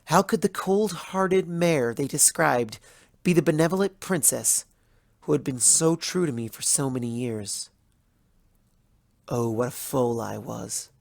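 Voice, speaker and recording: A bitter, hurt young pony scholar as a narrator acts her out in a storybook monologue. Her delivery is measured and accusatory, with a creaky edge and a close, dry sound. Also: mid-range voice, dry recording. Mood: bitter, reflective. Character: betrayed, bitter young female pony student, intellectual and hurt. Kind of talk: dramatic fan fiction reading as first-person monologue.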